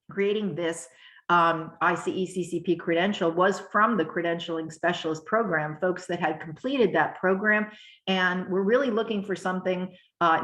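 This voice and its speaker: A hoarse woman with a cough, explaining a program in a measured webinar talk, with many fillers and frequent throat clearing over a video call. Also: on the low side, fairly bright tone, roomy sound, poor audio. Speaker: webinar presenter.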